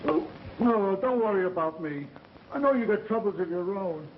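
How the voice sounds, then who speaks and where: An actor playing a plain-spoken, gruff but soft-hearted doorman, blunt and colloquial, in a 1930s film style. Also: sits high, expressive, moderate pace, background noise. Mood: awkwardly sympathetic. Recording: dull 1930s film soundtrack with music.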